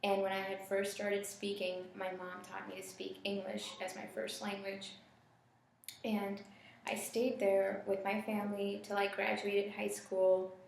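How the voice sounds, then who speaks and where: A young woman from Sri Lanka tells her story in an even, matter-of-fact way, her voice flat in pitch with a gravelly edge. The room around her rings with heavy echo. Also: unhurried, slight background noise. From Sri Lanka, raised in Bangladesh. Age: young adult. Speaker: international student. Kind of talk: interview testimony. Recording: very echoey room.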